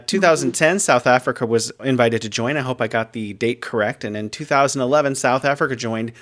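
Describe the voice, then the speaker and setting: A man hosting a podcast panel, asking questions in a friendly, halting way with restarts. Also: medium pitch. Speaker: podcast host and moderator. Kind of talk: casual interview moderating.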